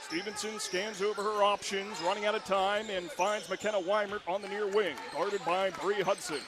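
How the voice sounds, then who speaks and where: A man calling live high school basketball play-by-play for radio from a gym, with quick, excited calls that rise to shouts on big plays. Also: crowd noise. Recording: radio broadcast with loud gym noise.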